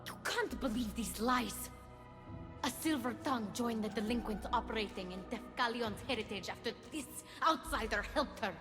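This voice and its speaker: A woman acts out a treacherous goddess in a video game. Her highish voice is formal and insinuating, carried on long hall reverb with music behind it. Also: moderate pace, natural voice. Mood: indignant. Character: scheming, silver-tongued goddess, false friend to the queen. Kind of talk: dramatic video game acting.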